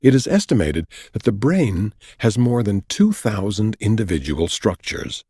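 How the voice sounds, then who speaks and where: Husky, expressive male audiobook narrator. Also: lowish voice, no room sound, clean studio sound. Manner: measured, deliberate, explanatory delivery. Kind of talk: scripted nonfiction audiobook narration. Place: dry recording studio.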